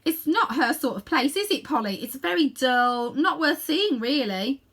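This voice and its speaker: A woman's voice: high voice, expressive, normal speed, fairly bright tone, voice pushed up. A woman reading a storybook as an indignant young boy, hesitant and protesting as he speaks. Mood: flustered.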